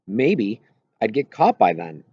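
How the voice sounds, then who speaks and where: Low-voiced man reading a story, roomy sound. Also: very animated, slow pace, slightly rough. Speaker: audiobook reader. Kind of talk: scripted first-person story narration. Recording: roomy echo, slightly dull lo-fi sound.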